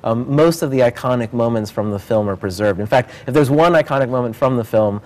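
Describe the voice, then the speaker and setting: A man's voice: medium pitch, brisk, darkish tone. A man speaking as a theater director in an interview, earnest and enthusiastic about his work. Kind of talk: promotional interview about a stage production.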